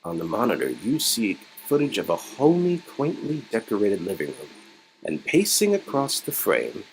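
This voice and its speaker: A man's voice: on the low side, huge pitch swings, moderate pace, a little hiss or hum, poor audio. A man reading a story game aloud as host, playfully dramatizing it and breaking off into joking commentary. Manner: playful, riffing, swings into exclamations and mock voices.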